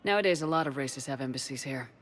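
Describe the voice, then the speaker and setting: A man's voice: mid-range voice, fast talker, a bit bright, slight background noise, lightly acted. An actor playing a human male military commander in a video game, plain-spoken, casual and encouraging. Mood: reassuring. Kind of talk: scripted video game dialogue.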